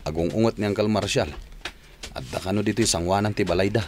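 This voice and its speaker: An actor playing a meek, hesitant farmer with an Ilocano Filipino accent in a radio drama. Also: male voice, fairly low pitch, fast talker, darkish tone, slight background noise, lightly acted. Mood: aggrieved. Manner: hesitant, deferential. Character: meek, respectful farmer nephew, intimidated husband.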